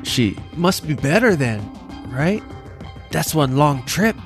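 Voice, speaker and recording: A man's voice: fairly high pitch, big pitch swings, slow, soft background music, pitched above the performer's own voice. A man voicing a distraught, grieving teenage boy, pressing with pleading questions. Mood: anxious, upset.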